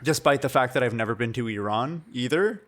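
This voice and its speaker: A man's voice: medium pitch, expressive, fairly quick. A young man from Canada co-hosting a podcast, talking in a thoughtful, reflective and somewhat hesitant way. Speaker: podcast co-host. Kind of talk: casual chat.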